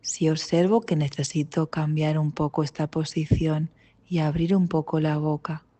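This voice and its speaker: A woman with an accent from Spain leading a guided meditation, calm and gentle, with long pauses between short instructions. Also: low voice. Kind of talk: guided mindfulness body scan meditation.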